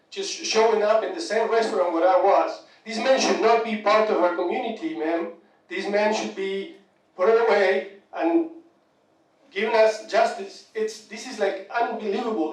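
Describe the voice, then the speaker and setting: A man from Argentina with an Argentine Spanish accent, a native Spanish speaker, addressing a court as a crime victim in a halting, upset way, often repeating words. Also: high-pitched. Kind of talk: impassioned victim statement. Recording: echoey courtroom audio from a distant mic.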